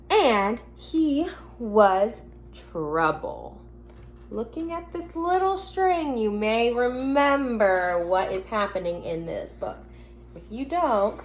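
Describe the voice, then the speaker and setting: A woman teacher speaking gently and simply to young children, reading a picture book aloud at home with casual asides. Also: fairly high pitch. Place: at home in an apartment. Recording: noticeable background noise, home recording.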